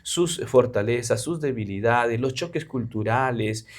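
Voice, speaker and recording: A man's voice: medium pitch, a little slow. Earnest Peruvian man explaining. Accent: Peruvian Spanish. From Peru. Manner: earnest explaining, with frequent fillers. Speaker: missionary guest. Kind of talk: interview conversation.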